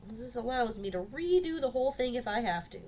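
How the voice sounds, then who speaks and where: A woman's voice: fairly high pitch, animated, fairly quick, a little mellow, soft background music, rough recording. A woman live-streaming her sculpting work, chatting casually to viewers in a congested voice from a cold, often trailing off with fillers. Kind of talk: casual live-stream chat while working.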